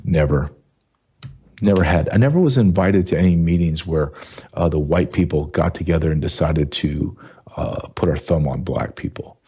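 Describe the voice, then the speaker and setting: A man's voice: extremely low pitch, moderate pace, warm tone, slightly rough, lo-fi sound. A man hosting his own talk show, speaking emphatically and often restarting and repeating words. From American South. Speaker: show host. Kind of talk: casual commentary monologue.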